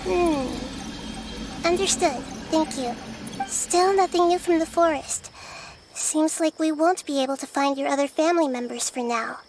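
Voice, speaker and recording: A woman voicing a gentle, young-sounding goddess who calmly and thoughtfully explains history. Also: high-pitched, a little slow, poor audio. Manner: calm, thoughtful, explaining lore. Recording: game audio with faint background music.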